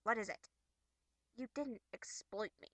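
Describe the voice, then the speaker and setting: A woman's voice: fairly high pitch, measured pace, a bit bright, no echo. A snide young troll girl, as acted by a woman in an amateur webcomic performance. She drawls her sarcasm with haughty exasperation and open mockery. The recording is dry, with no room sound. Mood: contemptuous. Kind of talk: amateur character voice acting from a webcomic.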